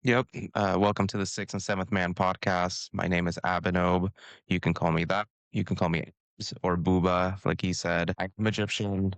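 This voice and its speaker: A man's voice: low-pitched, little pitch movement, normal speed, clear, resonant tone, no room sound. A man from Washington co-hosting a casual basketball podcast, laid-back and hesitant with lots of fillers. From Washington, United States. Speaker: podcast co-host. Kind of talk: casual sports podcast talk.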